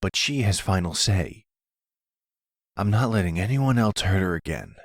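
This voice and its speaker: A man acts out a grown son fiercely protective of his mother. He talks in a clipped, gruff, confrontational way with animated pitch, in a dry roleplay audio drama recording. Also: normal speed, no echo. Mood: protective, firm. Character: protective adult son, defensive, bitter, gruff but loving.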